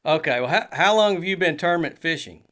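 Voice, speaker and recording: A man's voice: fairly high pitch, lively intonation, quick pace, a bit bright, slight background noise. Rambling young man co-hosting. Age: 20s. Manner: rambling, many fillers and restarts. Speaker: podcast co-host. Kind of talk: casual chat.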